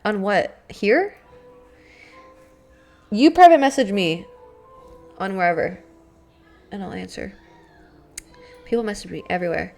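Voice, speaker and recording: Raspy young woman chatting on stream. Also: mid-range voice, lively intonation, unhurried, pauses often, a little mellow. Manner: chatty, rambling, swearing, many fillers. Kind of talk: casual live-stream chat with viewers. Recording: faint background music.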